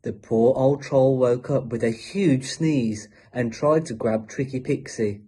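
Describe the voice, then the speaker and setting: A man's voice: medium pitch, measured pace, warm tone, slightly rough. A male teacher reading a children's story aloud as a storybook narrator.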